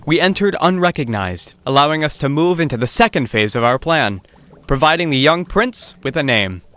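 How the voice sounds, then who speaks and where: A man's voice: a little high, lively intonation, normal speed. A man playing a theatrical bard, narrating a folk-tale quest in a declamatory storytelling way. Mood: measured storytelling. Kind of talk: scripted narration and rhymed declamation. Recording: music loud behind the voice.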